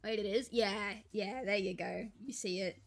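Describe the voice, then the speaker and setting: A young woman, chatting casually and rambling to viewers on a live stream, with a slight gravel in her voice when she talks quietly. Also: mid-range voice, steady tone, normal speed, faint music behind. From Australia. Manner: chatty, rambling, laughs easily, thinks aloud.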